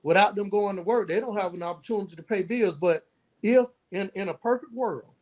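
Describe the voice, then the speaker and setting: High-pitched American man, earnest podcast guest. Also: brisk, darkish tone, rough recording. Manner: earnest, building points in lists. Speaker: podcast guest, youth mentor and nonprofit founder. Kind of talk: conversational interview answers.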